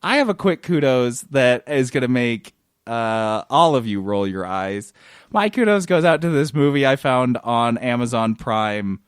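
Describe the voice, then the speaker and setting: An American man co-hosting a podcast with casual, sweary comedic banter in an animated manner. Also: mid-range voice, unhurried, clear, resonant tone, no echo, clean studio sound. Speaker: podcast co-host.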